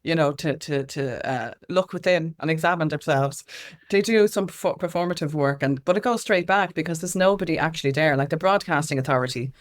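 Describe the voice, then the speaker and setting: An Irish woman being interviewed as a campaigner, speaking in an animated, anecdotal way, repeating phrases for emphasis and acting out quoted exchanges. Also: low-pitched, brisk, few pauses. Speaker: interview guest, music equality campaigner.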